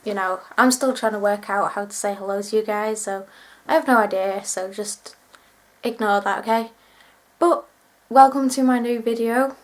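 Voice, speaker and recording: A woman's voice: medium pitch, fairly even intonation, normal speed. A twenty-year-old woman vlogging to camera, chatting in a rambling, self-deprecating way while sick with a cold and cough. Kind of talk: casual chat to camera.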